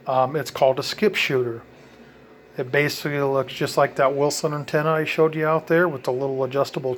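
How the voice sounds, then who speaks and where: A man talks through his radio setup in a loose, conversational way, dropping ums and correcting himself as he goes. His voice sits a bit high for a man. A faint outdoor ambience runs under the recording. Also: slight background noise. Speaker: hobby radio vlogger. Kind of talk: casual how-to rambling.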